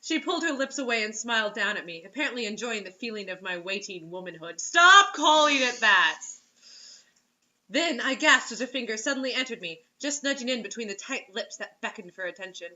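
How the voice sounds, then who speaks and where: A woman with a high, bright voice reads a story aloud on a dull, lo-fi recording. She is giggly and slips into incredulous asides as she goes. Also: animated, a little fast. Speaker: reader and co-host mocking bad fiction. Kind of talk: reading fiction aloud with joking asides.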